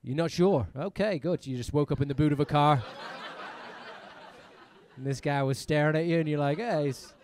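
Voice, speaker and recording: A young man with a mixed British and American accent working the crowd at a comedy club, firing off teasing questions and swearing casually. His voice sits a little higher than most men's. He talks into a handheld mic on stage. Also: a little mellow, a little hiss or hum. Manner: teasing, quick banter with the audience, swears. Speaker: stand-up comedian. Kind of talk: stand-up crowd work.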